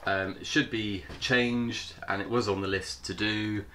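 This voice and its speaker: A man with a cold, sounding hoarse, hosting his vlog in a chatty, hesitant way. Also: fairly low pitch, fairly even intonation, measured pace, pushed and bright, slight background noise. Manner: chatty, hesitant with many fillers. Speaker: channel host. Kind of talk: casual vlog intro.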